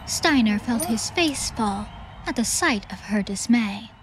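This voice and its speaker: A woman's voice: a little high, animated, unhurried, slight background noise, low-quality recording. A woman reading scripted, dramatic audiobook narration. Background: music.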